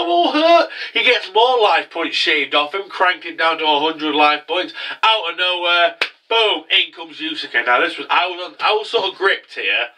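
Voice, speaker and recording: Young male host, congested, crude and jokey, slightly high voice. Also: pushed and bright, recorded from a distance. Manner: profane, rambling, jokey. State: congested from a cold. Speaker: anime review host. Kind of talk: casual recap commentary.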